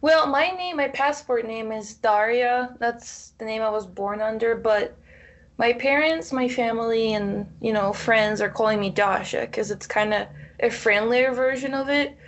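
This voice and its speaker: A woman's voice: on the high side, measured pace. Playful young woman. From Ukraine. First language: Russian. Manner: playful, laughs often, searches for words. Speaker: podcast guest. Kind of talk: casual chat.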